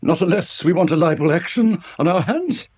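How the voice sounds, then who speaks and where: Senior policeman, rough-edged voice, expressive swoops, dry wordy irony, radio drama. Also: high voice, normal speed. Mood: dry, intrigued. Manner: hesitant, arch, wordy irony. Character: dry, drily amused senior male police officer.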